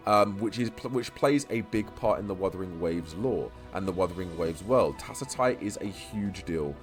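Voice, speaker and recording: A man talking through game-lore theories in a casual vlog. He stutters and repeats himself, restarting words and rambling on quickly. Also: sits low, animated, faint music behind. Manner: talks quickly. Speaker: YouTube lore creator.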